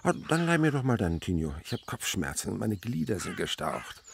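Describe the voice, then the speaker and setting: A man's voice: on the low side, big pitch swings, a little fast, gravelly, slight background noise. An actor playing an eccentric, learned father and insect researcher in a German radio play, wordy, didactic and teasing. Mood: animated, teasing.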